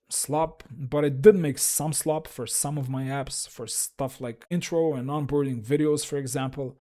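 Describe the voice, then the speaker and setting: Russian-accented man, mellow and dark, self-deprecating creator talking straight to camera. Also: mid-range voice. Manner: frank, wry, self-deprecating. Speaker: YouTube creator. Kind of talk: solo explainer talk.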